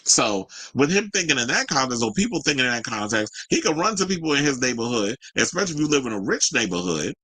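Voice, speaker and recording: A man's voice: mid-range voice, big pitch swings, fast talker, few pauses, sharp tone, husky, no echo, rough recording. A man hosting casual gossip commentary, chatty and candid, with a sassy, colloquial way of talking to his audience. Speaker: host of a commentary show.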